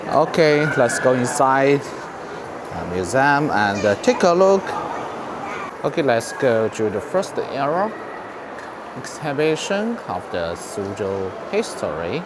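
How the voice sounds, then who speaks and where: A man from China with a Chinese accent narrates an informal museum tour vlog in halting, simple non-native English. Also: medium pitch, big pitch swings. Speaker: vlogger giving a museum tour. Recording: clear background noise, on-location ambience.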